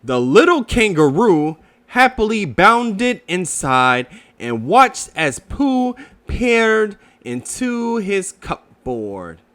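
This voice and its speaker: Slow, high-voiced, animated male storybook reader. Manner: expressive, deliberate delivery for young children. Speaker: children's book reader. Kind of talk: read-aloud storybook narration.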